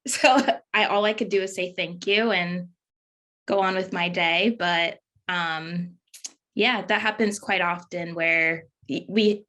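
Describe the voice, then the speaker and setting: A woman's voice: fairly low pitch, pushed and bright. A woman speaking as a panelist, telling a story hesitantly with many ums and small laughs. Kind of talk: candid panel talk.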